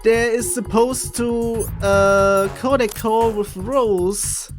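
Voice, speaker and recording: Casting: halting gameplay commentator, unhurried and casual, mid pitch over game music. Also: fairly even intonation. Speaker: game streamer.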